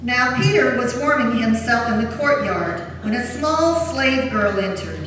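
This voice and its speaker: A woman's voice: on the high side, lively intonation, unhurried, crowd noise. A woman narrator giving a solemn, formal dramatic reading of scripture. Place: large church during a service. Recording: echoey church hall, distant, faint noise.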